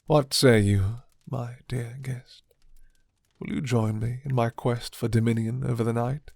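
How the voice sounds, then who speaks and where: Vampire lord male performer: seductive, menacing, commanding; unhurried formal delivery, breathy half-whispers, dry ASMR sound. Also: mid-range voice, fairly even intonation, a little mellow, no room sound. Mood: persuasive. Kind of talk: ASMR roleplay.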